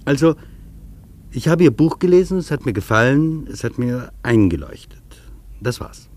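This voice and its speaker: An actor playing an earnest, reflective traveller in a scripted radio feature, speaking deliberately and rhetorically. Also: male voice, medium pitch, lively intonation, a little mellow, natural voice. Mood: earnest, circling. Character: earnest reflective male traveller recounting an imagined interview. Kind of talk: scripted German radio feature, literary narration.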